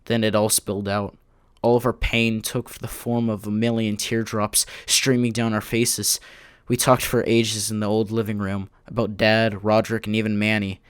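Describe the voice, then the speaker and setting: A man's voice: fairly low pitch, level tone, moderate pace, clear, resonant tone, no room sound, clean studio sound, natural voice. A man playing a bitter, despairing man in his late thirties who narrates his diary in an anguished, self-loathing audio drama style. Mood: anguished confession.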